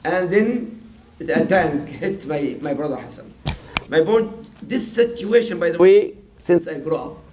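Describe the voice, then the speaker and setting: Halting older man from Gaza. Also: on the high side, lively intonation, darkish tone, a little hiss or hum, poor audio. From Gaza, Palestine. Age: 60s. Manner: halting, broken English with many restarts. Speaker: imam, guest. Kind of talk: personal account in an interview. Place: mosque event.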